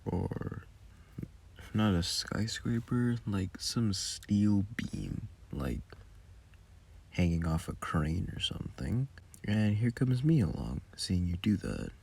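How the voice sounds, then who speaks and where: A man's voice: lowish voice, big pitch swings, slow pace, vocal fry, a little mellow, breathy edge. A man who makes audio roleplays, giving casual, rambling behind-the-scenes commentary with muttered asides.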